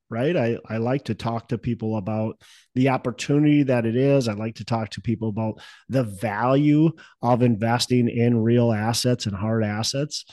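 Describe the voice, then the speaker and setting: A man's voice: mid-range voice, darkish tone, dry recording, clean studio sound. A man hosting a podcast in casual chat, with a stuffy nose and a cough, rambling with many fillers and restarts. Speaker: podcast host.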